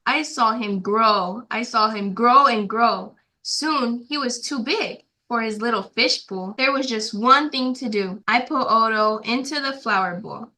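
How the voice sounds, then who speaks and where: A woman's voice: a little high, a little slow, few pauses, pushed and bright. A female guest reader reading a children's storybook aloud in a simple, careful storytelling way.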